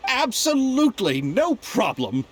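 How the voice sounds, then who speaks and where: A man's voice: very high-pitched, sing-song, a little slow, husky, heavily acted. A man voicing an eager, fawning film producer in a video game, apologetic, deferential and effusive. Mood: overjoyed, fawning. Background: background music.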